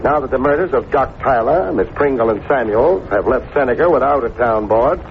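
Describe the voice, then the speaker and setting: An actor playing a tough, wisecracking private detective with clipped, sardonic delivery in a vintage radio drama. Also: male voice, medium pitch, lively intonation, brisk, close to an everyday voice. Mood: sardonic, pointed. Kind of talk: 1950s radio detective acting and narration. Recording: muffled, hissy old radio recording.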